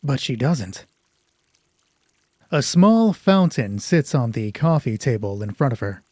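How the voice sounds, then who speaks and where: Male narrator, husky and unhurried, for dramatic scripted storytelling; expressive, close dry sound. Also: mid-range voice, no echo. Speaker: narrator and solo roleplay host.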